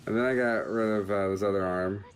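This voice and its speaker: A man's voice: lowish voice, steady tone, a little fast. A man hosting a video game show, giving casual, rambling commentary in a joking, understated way.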